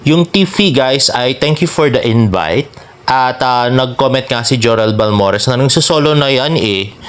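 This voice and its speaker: A man's voice: mid-range voice, unhurried, clear, resonant tone. A man with a Filipino accent hosts a fan vlog, giving casual, teasing and jokey celebrity gossip commentary in mixed Tagalog and English. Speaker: celebrity fan vlogger and host.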